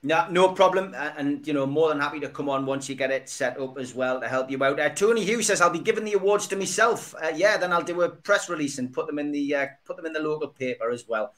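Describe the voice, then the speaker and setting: A man hosts a casual podcast, his voice sitting a little high for a man. He talks in a jokey, chatty way and reads viewer comments aloud. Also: fast, hardly any pauses, fairly bright tone. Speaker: podcast host. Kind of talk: casual chat.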